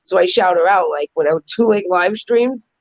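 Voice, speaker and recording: A middle-aged woman with a raspy voice and a New York accent, chatting and joking on a live stream. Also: fairly low pitch, no room sound, highs cut off. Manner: chatty, animated, quick to joke. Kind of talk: casual live stream chat.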